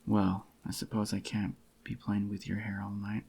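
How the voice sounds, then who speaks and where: Man acting a husky, gravelly, soft-spoken possessive boyfriend. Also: fairly low pitch, monotone, normal speed. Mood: soothing. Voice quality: husky, slightly groggy. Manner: tender, intimate, affectionate murmur. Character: doting, possessive yandere boyfriend soothing his sweetheart. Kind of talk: soft-spoken ASMR roleplay.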